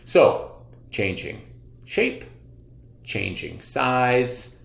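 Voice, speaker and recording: Man, elementary teacher, highly varied pitch, unhurried pace, expressive explaining, read-aloud lesson style, roomy echo. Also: vocal fry. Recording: echoey room sound.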